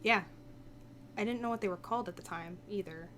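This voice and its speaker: A woman voicing a young, sharp-tongued woman telling of her past in a visual novel, informal and snappy. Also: medium pitch, a little fast, a little hiss or hum, lightly acted. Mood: reflective. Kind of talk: visual novel voice-over read aloud.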